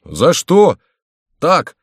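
A man's voice: a little high, wildly swooping pitch, drawn-out words, slightly rough, no echo, clean studio sound, voice pushed up, full character voice. A man voicing a complaining courtier in an audiobook, indignant, exclamatory and self-pitying. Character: complaining male courtier, indignant and breathlessly dramatic. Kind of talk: audiobook character voice.

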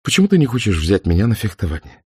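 A man reads the part of a playful young woman, teasing and affectionate in an audiobook. His voice lifts somewhat above its low natural pitch. The recording is dry and close. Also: huge pitch swings, quick pace, breathy edge, no echo, full character voice.

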